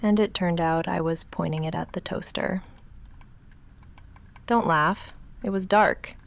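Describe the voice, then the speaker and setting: A woman playing a young goth vampire roommate in an ASMR roleplay, speaking softly and often whispering in a dry, halting, self-deprecating way. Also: lowish voice, steady tone. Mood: curious, self-deprecating. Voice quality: soft, often whispered, breathy fry.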